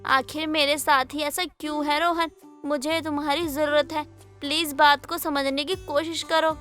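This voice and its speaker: A young wife in an audio story drama, acted by a woman. Her voice is high and bright, with an emotive delivery. Music plays under her lines. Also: level tone, moderate pace. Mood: pleading. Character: lonely, pleading young wife, affectionate but easily irritated. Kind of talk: Hindi moral-story audio drama acting.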